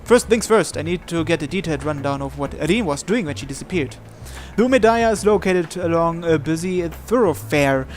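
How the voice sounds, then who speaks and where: A man's voice: a little high, animated, fairly quick, few pauses. A man reading a visual novel aloud as dramatic narration, in a theatrical, suspenseful manner. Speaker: visual novel let's player. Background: game music.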